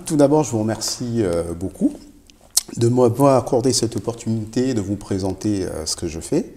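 Male CEO guest, relaxed dark tone, very varied intonation, emphatic repetition. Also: on the low side. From Africa. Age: middle-aged. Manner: emphatic, repeats key phrases. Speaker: company CEO, interview guest. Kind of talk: explanatory interview answers. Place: indoor showroom with product shelves.

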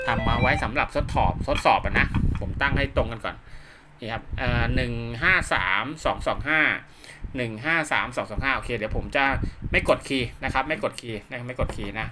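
Man giving casual tutorial, noisy recording. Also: medium pitch. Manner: conversational, repeats himself often, polite particles. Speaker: host of radio equipment tutorial. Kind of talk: casual hands-on tutorial, talking viewers through steps. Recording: clearly noisy home-made recording with clicks.

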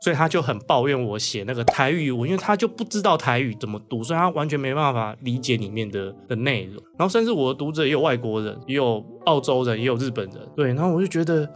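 Taiwanese guy with mandarin accent from taiwan telling stories in an interview, normal pitch, music underneath. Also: expressive, quick pace, few pauses. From southern Taiwan (Kaohsiung). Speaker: interview guest, novelist. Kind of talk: casual interview chat, storytelling. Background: background music.

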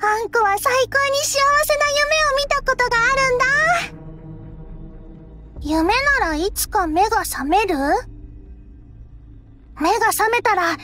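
A woman's voice: very high-pitched, expressive, measured pace, pauses often, bright, forceful tone, full character voice. A woman voicing a cheerful, playful little girl from an anime video game, with a cutesy, childlike way of talking. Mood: cheerful, chatty. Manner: bubbly, refers to herself by name in childish speech. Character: cheerful, sweet, playful little girl adventurer with sheep companions. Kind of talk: cute Japanese anime game voice acting. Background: background game music.

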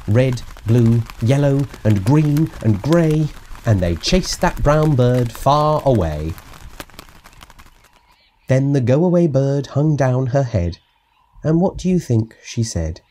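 A man reading a children's audiobook as narrator, in an expressive, measured read-aloud style. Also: mid-range voice, a little hiss or hum. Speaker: storybook narrator.

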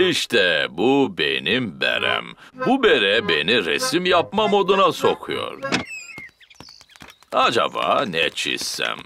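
A man's voice: low voice, huge pitch swings, takes it slowly, creaky, music in the background, full character voice. A man voicing a jolly, proud daddy pig in a children's cartoon, with a hearty, chuckling, theatrical delivery. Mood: proud, playful.

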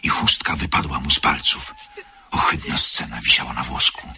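Deep-voiced male radio drama narrator. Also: sharp tone, slight background noise. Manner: measured, literary storytelling.

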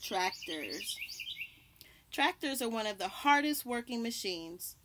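A young woman reads a children's picture book aloud at a slow, careful pace. Her voice sits a little high, and she pauses to point things out. She is outdoors on a porch, with birds chirping faintly behind her. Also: animated. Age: young adult. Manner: deliberate, pausing to point out and label pictures. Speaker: children's read-aloud host. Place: outdoors on a house porch by trees. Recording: birdsong and light outdoor background noise.

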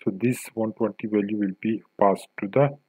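Low-voiced man narrating a coding tutorial. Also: moderate pace, breathy edge, dry recording, narrow, tinny audio. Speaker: software tutorial instructor.

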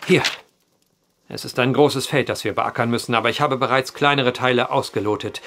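An actor playing an earnest, determined gentleman writer in a German audio drama, speaking with precise, old-fashioned eloquence. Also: mid-range voice, steady tone, fairly quick, noisy background, lightly acted. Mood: determined, explanatory.

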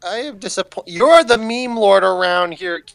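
A man's voice: sits high, lively intonation, moderate pace, gravelly, a bit bright, no echo, soft background music. A man in casual conversation as a guest on a livestream. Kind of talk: casual chat.

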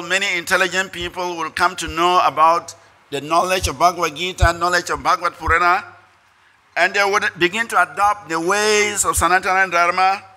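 An older man with a Ghanaian accent giving a prepared speech from a lectern in measured, short phrases. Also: high voice, sharp tone. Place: conference hall stage. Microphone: podium microphones.

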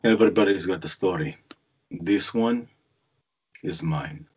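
Cold, remorseless killer, read by a male actor, low and raspy, bitter crime drama delivery. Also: measured pace. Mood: grave. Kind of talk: screenplay table read.

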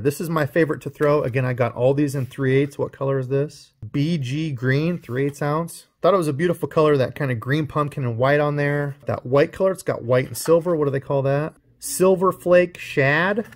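A man's voice: mid-range voice, vocal fry. A man hosting his own fishing channel, chatting casually as he unboxes and compares lures. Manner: chatty, explains gear while handling it. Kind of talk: casual unboxing show-and-tell.

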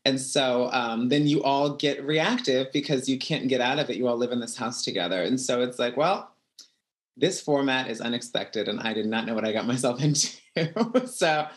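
A woman, a reality show contestant, giving reflective interview answers over a video call, with soft laughs between thoughts. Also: a bass voice, lively intonation, normal speed. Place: home room.

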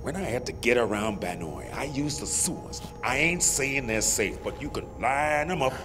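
A man's voice: mid-range voice, lively intonation, moderate pace, in a large hall, music in the background, natural voice. An actor playing a cocky, streetwise, bossy man with a swaggering, slangy delivery, in a video game. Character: cocky, streetwise, bossy male celebrity survivor.

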